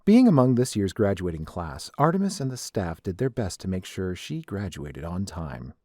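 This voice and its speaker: Casting: male narrator for scripted fiction, mellow tone, animated intonation. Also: low-pitched, moderate pace, dry recording, clean studio sound.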